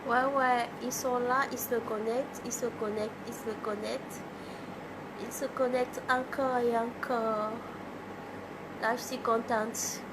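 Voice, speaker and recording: A woman from Cameroon with a cold, hosting a casual livestream, greeting viewers by name and chatting in a halting way. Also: a little high, level tone, noisy background. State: has a cold. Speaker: livestream host, artist. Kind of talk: casual live chat with viewers.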